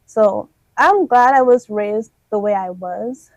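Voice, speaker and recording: A woman's voice: mid-range voice, takes it slowly, no echo, lo-fi sound. Young woman, reflective. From Dominica. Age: 20s. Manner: warm, reflective, laughs often. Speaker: interview guest. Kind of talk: casual radio interview.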